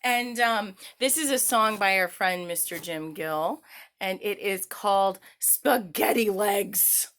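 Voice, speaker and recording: A woman's voice: mid-range voice, slow pace, a bit bright. A woman hosting story time for young children, telling tales expressively in simple words.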